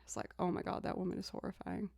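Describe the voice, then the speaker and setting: A young woman with a low alto voice, chatting casually on a live stream and thanking viewers as she talks. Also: very animated, fast, gravelly, darkish tone, dry recording. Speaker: streamer and musician.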